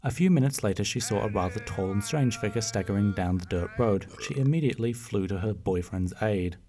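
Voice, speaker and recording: A man's voice: lowish voice, fairly quick, dark tone. A man giving scripted narration as the storyteller of a fan-made radio play.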